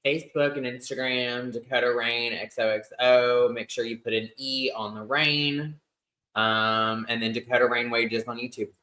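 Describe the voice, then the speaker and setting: A man's voice: medium pitch, steady tone, moderate pace, pushed and bright, rough recording. A man in his thirties co-hosting a casual podcast, chatty and rambling with lots of fillers. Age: 30s. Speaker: podcast co-host. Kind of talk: casual chat.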